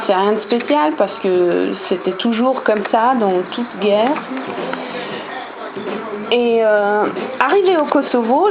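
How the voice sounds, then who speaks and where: A woman's voice: mid-range voice, phone-quality sound. Hesitant woman. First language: German. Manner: hesitant, many fillers and restarts. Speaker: vlogger. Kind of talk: casual storytelling to camera.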